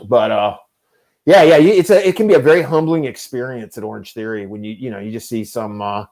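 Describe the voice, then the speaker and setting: A man's voice: mid-range voice, brisk, darkish tone. Man, fifties, podcast host, casual chat, rambling, self-deprecating, mid-range voice. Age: 50s.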